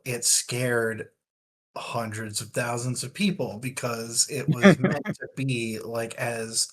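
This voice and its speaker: A man talking casually in a book discussion, analytical and wordy, feeling out his phrasing as he goes. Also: mid-range voice.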